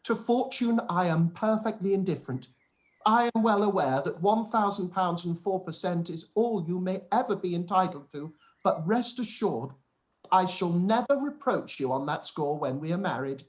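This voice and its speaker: A man's voice: sits high, lively intonation, normal speed, rough recording. An actor playing a pompous, self-satisfied young clergyman, long-winded and formal, in a period radio play. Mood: smug, oblivious. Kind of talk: period drama live-read radio play.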